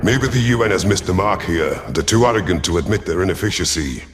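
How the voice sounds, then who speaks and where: A man voicing a gruff, proud alien chief hunter who commands a fleet, growling and impatient, in a first-person sci-fi audio drama. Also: expressive, quick pace. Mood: wary, brooding. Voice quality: low, growling.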